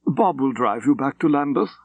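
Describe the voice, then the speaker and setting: A man's voice: mid-range voice, expressive, normal speed, vocal fry, no echo, highs cut off, pitched above the performer's own voice. A man voicing a lean male intelligence official with a British accent and a clipped, insistent manner.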